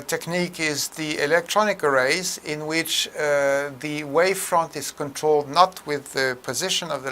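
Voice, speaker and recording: A man presenting a technical tutorial in an explanatory way, with a halting, hesitant delivery full of mid-phrase pauses. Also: a little high, pushed and bright, a little hiss or hum.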